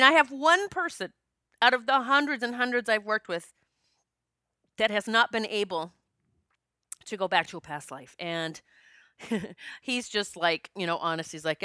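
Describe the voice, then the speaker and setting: A woman's voice: medium pitch, sharp tone, clean tone, dry recording, studio quality. A woman in her fifties, a past life regression practitioner, telling stories in a chatty, joking way. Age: 50s. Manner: chatty, joking, storytelling with quoted dialogue. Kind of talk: casual spiritual monologue.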